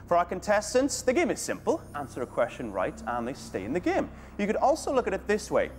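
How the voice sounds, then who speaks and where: A man hosting a British TV game show, delivering scripted lines with cheeky deadpan jokes in a studio. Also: sits high, a little fast. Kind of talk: scripted playful presenting. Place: large TV studio. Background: music.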